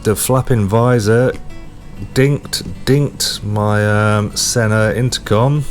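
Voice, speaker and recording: A man narrating a motorcycle vlog in a casual way, with hesitant pauses as he talks. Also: fairly low pitch, steady tone, soft background music. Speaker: motorcycle vlogger.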